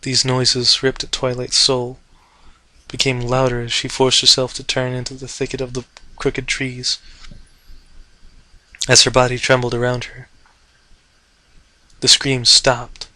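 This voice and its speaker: A man narrates fiction in a dramatic, theatrical style. His voice is mid-pitched, bright and tense, delivered with intensity and captured very dry and close. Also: completely flat delivery, a little slow, long pauses, no echo, poor audio. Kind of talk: dramatic scripted story narration.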